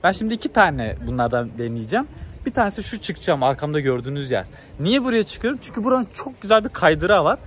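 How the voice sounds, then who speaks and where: A young man talks quickly and casually to a phone held at arm's length. His voice sits a bit high for a man, and he sounds keen and informal, like a travel vlogger. Faint outdoor noise runs behind him. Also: very animated. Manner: enthusiastic, informal, exclamatory. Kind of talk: casual chat to camera. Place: outdoors in a mountain village and hillside.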